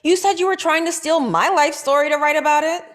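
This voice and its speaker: A woman reading a dry, sardonic young writer in a comedic table read, with deadpan, teasing delivery. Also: high voice, a little fast. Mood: defensive.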